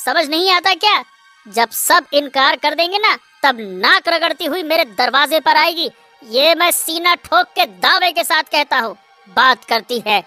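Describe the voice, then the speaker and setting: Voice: high voice, lively intonation, measured pace, bright, forceful tone, soft background music, full character voice. A performer voicing an arrogant young male suitor in a Hindi cartoon, condescending and boastful. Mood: angry, scornful.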